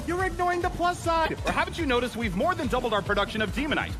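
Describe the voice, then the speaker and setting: An actor playing a greedy, gloating young boss in an animated comedy dub, theatrical, swinging between sly muttering and bellowing. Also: male voice, very high-pitched, fast talker, music in the background. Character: scheming young male boss, manic, greedy, gloating megalomaniac.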